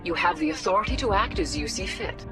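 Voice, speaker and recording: An actress playing a diplomatic, authoritative female alien councilor in a video game, measured and formal, with music behind her. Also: fairly low pitch, expressive, natural voice. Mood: calm, diplomatic.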